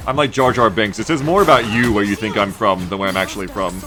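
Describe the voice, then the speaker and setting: Male game streamer, mixed Australian accent, lowish voice, chatty play-by-play with clear game music behind. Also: steady tone, quick pace. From Sydney, Australia. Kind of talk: casual live gaming commentary. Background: video game music and effects.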